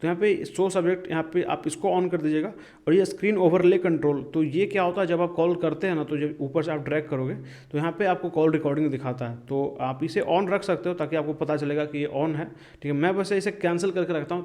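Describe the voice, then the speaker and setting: Guy doing a chatty how-to screen tutorial, voice a bit on the high side, explains step by step. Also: darkish tone. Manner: conversational, explanatory, checks in often with listener. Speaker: tech tutorial video host.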